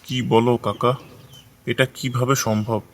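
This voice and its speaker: A man's voice: mid-range voice, little pitch movement, measured pace, a bit bright, lightly acted. A man playing a respectful, eager young village farmer in a cartoon fairy tale, with an earnest manner. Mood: puzzled, earnest. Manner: earnest, deferential. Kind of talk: animated fairy-tale dialogue.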